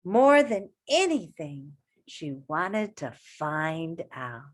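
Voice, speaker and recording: A woman teacher reading a picture book aloud to children in an expressive way, pausing to ask her young listeners questions. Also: low-pitched.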